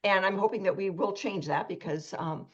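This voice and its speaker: Chatty woman radio host, mid-pitched, on a slightly muffled line. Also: normal speed. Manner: chatty, anecdotal, acts out quoted lines. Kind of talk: radio talk show chat and ad reads.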